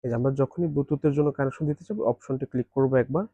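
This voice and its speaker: A man walks through a product demonstration, explaining each step practically and quickly. His voice is dark and mellow, and the recording sounds dry and a little dull. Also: medium pitch, dry recording.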